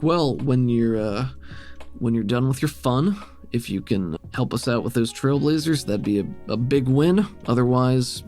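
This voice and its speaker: Man playing earnest, gently pressing game character. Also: mid-range voice, lively intonation, unhurried, faint music behind, close to an everyday voice. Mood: hesitant, pointed. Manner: hesitant, pointed but restrained. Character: male local fuel gatherer, earnest, gently pressing for help.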